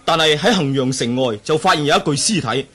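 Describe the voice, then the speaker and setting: An actor with a Hong Kong Cantonese accent playing a respectful, urgent disciple in a martial arts radio drama. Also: male voice, a little high, expressive, a little fast, fairly bright tone. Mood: anxious. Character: deferential male disciple reporting to his master. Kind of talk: 1980s radio drama acting.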